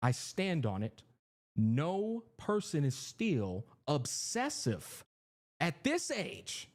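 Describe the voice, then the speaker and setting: A man in his thirties giving animated, profane livestream commentary to his chat. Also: a little high, very slow. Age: 30s. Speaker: streamer.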